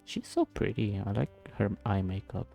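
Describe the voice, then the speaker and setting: A man's voice: fairly low pitch, sing-song, moderate pace, creaky, warm tone, soft background music. A man giving casual playthrough commentary in short, murmured reactions, often repeating words, into a close microphone. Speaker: gaming video maker.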